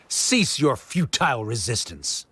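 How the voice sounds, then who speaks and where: A man's voice: medium pitch, wildly swooping pitch, takes it slowly, sharp tone, slight background noise, heavily acted. A man voicing a haughty, rigid academic official in a game, speaking with pompous, formal condescension. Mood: scornful. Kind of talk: English game voice acting.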